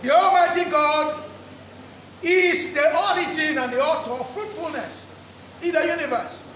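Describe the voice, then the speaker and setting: A middle-aged man preaching in a very high voice, stretching out key words and repeating phrases for emphasis. His voice reaches the mic from a distance and rings around a roomy church hall. A steady background noise sits under it. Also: a little slow. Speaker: pastor. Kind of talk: sermon. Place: church hall with draped walls. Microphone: lectern microphone.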